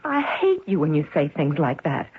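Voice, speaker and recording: An actress playing a hot-headed, wounded young swindler, defensive and pleading, in an old-time radio mystery. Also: female voice, deep voice, wildly swooping pitch, normal speed, slightly rough, exaggerated voice. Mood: hurt, indignant. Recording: muffled vintage radio recording.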